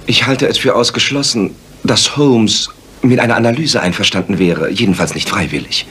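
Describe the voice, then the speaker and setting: An actor playing an earnest, worried gentleman narrator in a German radio play. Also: fairly low pitch, moderate pace, husky.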